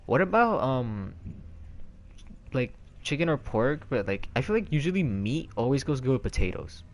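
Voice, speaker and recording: A man's voice: medium pitch, sing-song, normal speed. A man streaming from home on a headset mic, rambling in casual chat with many fillers and restarts.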